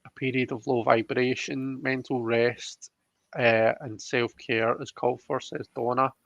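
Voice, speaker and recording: A man's voice: mid-range voice, little pitch movement, a little slow, creaky, fairly bright tone, no room sound. Guy hosting a tarot chat, casual, dull lo-fi audio, no room sound. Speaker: host of a live tarot reading show. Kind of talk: casual chat, reading viewer comments aloud.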